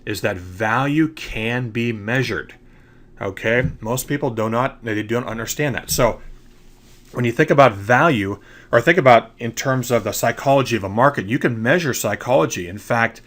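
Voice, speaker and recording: A man's voice: medium pitch, normal speed, fairly bright tone. Congested man giving a trading lecture. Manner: explanatory, repeats "okay?" to check understanding. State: congested from allergies. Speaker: trading educator. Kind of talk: screen-recorded slide lecture.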